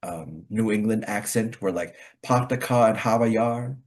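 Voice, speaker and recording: A man's voice: fairly low pitch, measured pace, a little mellow. A man with a Connecticut accent chatting casually, rambling with many fillers and restarts. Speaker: club host. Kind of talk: casual conversation practice.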